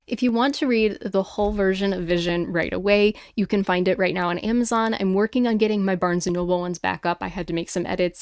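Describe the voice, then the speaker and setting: A woman's voice: medium pitch, darkish tone, clear, resonant tone, no echo. A woman hosting her own show, moving between scripted story narration and chatty talk as an author. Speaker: host and author.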